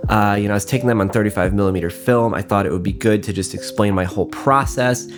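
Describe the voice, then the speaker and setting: A man's voice: on the low side, fast talker. Nasal, sniffly man rambling over guitar music. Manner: rambling, self-deprecating. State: congested from a cold. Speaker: photography vlogger. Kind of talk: casual vlog voiceover. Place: voiceover recorded indoors.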